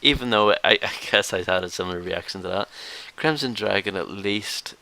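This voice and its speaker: Low, gravelly man with bright edge. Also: a little fast. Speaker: podcast host. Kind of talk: casual video game review chat. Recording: hissy background noise.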